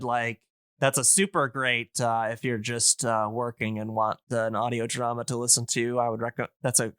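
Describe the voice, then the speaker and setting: Bright, clear-voiced man chatting casually. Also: medium pitch, no room sound. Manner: halting, searching for words, enthusiastic recommending. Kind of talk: casual podcast chat.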